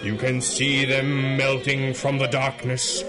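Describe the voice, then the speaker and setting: A male actor plays an implacable masked avenger in a period radio adventure. He speaks slowly in a mid-pitched voice, each phrase deliberate and grave. His manner is cold menace, like one who holds power over minds. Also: completely flat delivery, music in the background, natural voice. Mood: grave, accusing. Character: stern, implacable masked hero with mind powers. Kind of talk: 1930s-set radio superhero drama acting.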